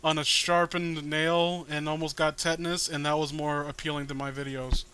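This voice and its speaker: A man with a high, bright voice gives casual comedic commentary as he reads along. His sound is clear and smooth, and his manner is sarcastic and self-deprecating. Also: flat delivery, normal speed. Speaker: co-host reading a comic aloud.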